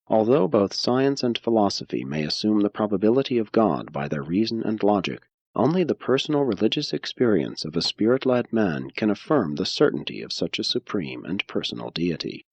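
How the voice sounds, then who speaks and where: Low-voiced, husky man narrating an audiobook. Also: sing-song, moderate pace, darkish tone, no room sound, dull audio. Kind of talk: scripted audiobook narration of religious philosophy.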